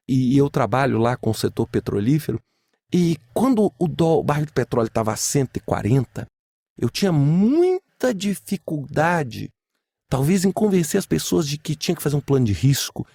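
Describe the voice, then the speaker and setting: Brazilian guy doing a solo podcast, slightly high voice, dry close sound, emphatic and informal. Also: dark tone, dry recording. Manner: emphatic, with repetition and rhetorical questions. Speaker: podcast host.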